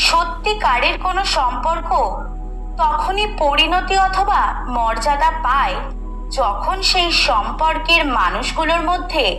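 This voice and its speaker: Woman acting high, echoey, wise Friendship personified. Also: sharp tone, heavily acted. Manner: emphatic, didactic, deliberate phrasing. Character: Friendship personified, wise, firm peacemaker who lectures. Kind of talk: scripted audio story monologue. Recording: roomy echo, music bed underneath.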